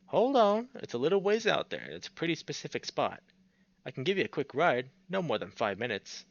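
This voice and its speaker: A man's voice: on the high side, big pitch swings, fairly quick, creaky, no echo, lo-fi sound, pitched above the performer's own voice. A man voicing a friendly, folksy old male bear in a visual novel, with offhand country phrasing. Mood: helpful, insistent.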